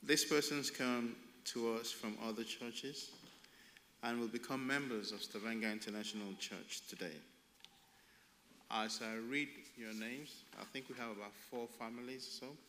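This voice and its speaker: Guy in a church reading names out slow, bright voice, long hall echo. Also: medium pitch. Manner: reading out names slowly, pausing. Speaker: pastor. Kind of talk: church announcement. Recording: distant hall reverb, faint noise.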